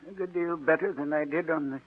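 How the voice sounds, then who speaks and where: An actor playing a shrewd, ailing, rich old uncle who needles in a deliberate, sardonic way, in an old-time radio drama. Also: male voice, fairly high pitch, natural voice. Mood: pointed, accusing. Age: older. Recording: tinny, band-limited old radio recording.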